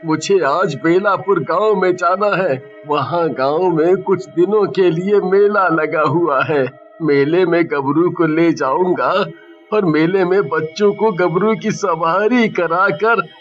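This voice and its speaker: A man's voice: high-pitched, takes it slowly, a bit bright. A man playing a worried, grumbling village camel owner in a dramatized story, exclaiming plaintively. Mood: relieved, planning. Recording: muffled lo-fi audio with music.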